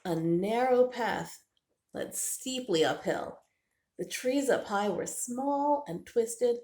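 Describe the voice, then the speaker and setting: A woman narrates a children's story at a slow, deliberate pace. Her pitch is very lively and expressive, and the room adds a noticeable echo. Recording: roomy, echoing indoor sound.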